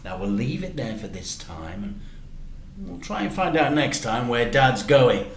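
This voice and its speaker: A man narrating a children's story in an expressive, deliberate way. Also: mid-range voice, gravelly. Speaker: storyteller, teacher. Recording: roomy, echoey sound.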